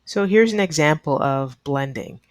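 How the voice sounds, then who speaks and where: A woman's voice: low-pitched, lively intonation, moderate pace, no room sound. A woman teaching an online English lesson in a neutral American accent, explaining earnestly to learners and repeating key advice for emphasis. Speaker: ESL teacher.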